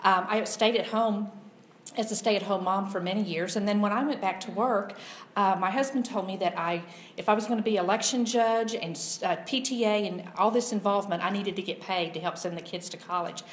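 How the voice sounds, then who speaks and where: A middle-aged or older woman introducing herself to a group in a casual, halting, conversational way. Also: medium pitch. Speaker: political discussion panelist. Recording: echoey room, faint background noise.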